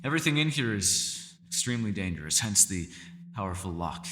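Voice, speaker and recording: Man playing a courteous, deep-voiced elf scholar, echoing hall. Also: sing-song, a little fast, pushed and bright, exaggerated voice. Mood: grave, cautioning. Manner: formal, polite wording with hesitations and small stammers. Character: mysterious centuries-old male elf scholar, courteous, meticulous, gently awkward. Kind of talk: ASMR roleplay voice acting, one-sided dialogue.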